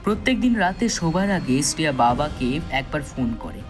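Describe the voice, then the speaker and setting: A man's voice: on the high side, big pitch swings, moderate pace. A man narrating a scripted thriller story in a dramatic, suspenseful storytelling manner. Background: background music.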